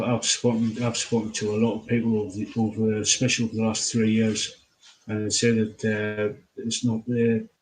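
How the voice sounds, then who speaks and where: A man, interviewing casually as host in a halting, repetitive way. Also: fairly low pitch, rough recording. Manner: halting, repeats phrases, filler-heavy.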